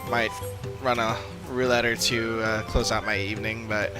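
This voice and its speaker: A man with a fairly low voice talks off the cuff as a game streamer. He rambles and thinks out loud in a casual way, with game music playing clearly behind him. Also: little pitch movement, normal speed. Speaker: live streamer playing an online role-playing game. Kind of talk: casual, unscripted stream chat.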